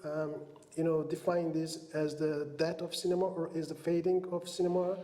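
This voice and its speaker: High-voiced Turkish man hosting a podcast. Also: monotone, normal speed, dark tone. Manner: rambling, many fillers and "you know". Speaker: podcast host. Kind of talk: casual podcast chat. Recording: roomy room echo.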